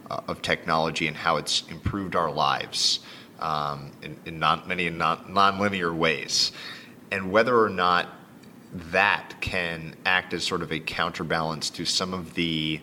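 A man's voice: fairly even intonation, measured pace, pushed and bright. A man hosting an interview in a conversational style, exploring ideas through long, layered questions. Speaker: podcast host, interviewer. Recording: noisy background with low rumble.

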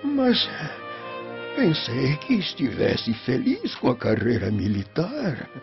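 An actor playing a frail, devout old Roman father in a radio drama, solemn and tender, with a breathy, quavering voice. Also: mid-range voice, huge pitch swings, slow pace, exaggerated voice. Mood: moved. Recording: muffled lo-fi, music underneath.

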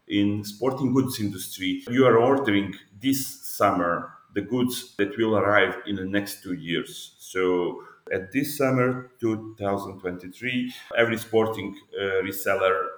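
A man's voice: lowish voice, fairly bright tone, roomy sound. A man from Serbia with a Serbian accent, giving explanatory interview answers step by step with examples. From Belgrade, Serbia. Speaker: podcast guest, e-commerce business owner.

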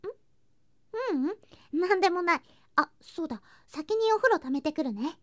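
A woman playing an affectionate, playful younger girl cousin in an intimate ASMR voice drama, speaking softly and coaxingly and slipping into whispers. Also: very high voice, expressive, moderate pace, dry recording, clean studio sound. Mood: playful, murmuring. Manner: soft, sweet, coaxing, drifting into whispers and singsong. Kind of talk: ASMR situation voice drama, intimate roleplay to listener.

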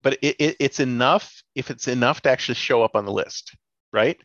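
A man's voice: mid-range voice, fairly quick, fairly bright tone, no echo. A man in casual chat who talks sarcastically and laughs often. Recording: dull lo-fi sound.

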